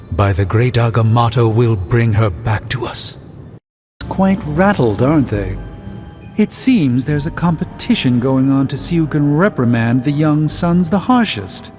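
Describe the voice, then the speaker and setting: A man's voice: mid-range voice, phone-quality sound, lightly acted. Man acting pompous sorcerer superhero, thin game audio. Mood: grave, concerned. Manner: pompous, wordy, theatrical formal diction. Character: pompous, erudite adult male sorcerer superhero, proud and wry. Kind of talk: video game character dialogue. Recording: thin band-limited game audio with music behind.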